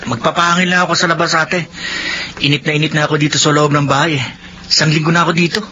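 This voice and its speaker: A man's voice: on the high side, little pitch movement, fast, sharp tone. A man playing a restless, wayward younger brother who sponges off others, in a Filipino radio drama. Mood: irritated. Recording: dull radio sound, faint noise.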